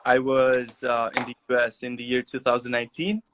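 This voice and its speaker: Young man, halting answers. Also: mid-range voice, monotone, fairly bright tone. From Albania. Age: young adult. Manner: halting, many "uh" and "um" pauses. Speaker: guest. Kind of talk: casual interview answers. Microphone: video call.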